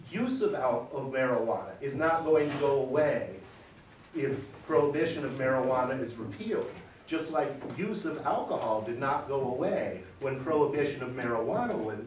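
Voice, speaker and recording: A man in his forties testifying at a public hearing, speaking loudly and emphatically, off the cuff. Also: medium pitch, slight background noise. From Michigan. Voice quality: loud voice. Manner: emphatic, gesturing, pounds the table. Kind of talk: unscripted testimony. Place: large wood-panelled hearing room. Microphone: far camera. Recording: echoey room, camera far off.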